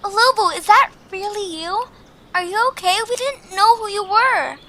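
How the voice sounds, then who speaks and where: A woman's voice: very high-pitched, measured pace, pushed and bright, slight background noise, full character voice. A woman voicing an anxious, kindhearted young girl adventurer in an audio drama, earnest and hesitant in her delivery. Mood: worried, timid. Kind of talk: animated audio drama acting.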